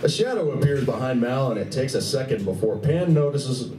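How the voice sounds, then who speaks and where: A man's voice: medium pitch, lively intonation, fairly quick, mellow, recorded from a distance. A man giving dramatic scripted narration for a live radio play. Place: live stage reading at a convention. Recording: echoey room, picked up from afar.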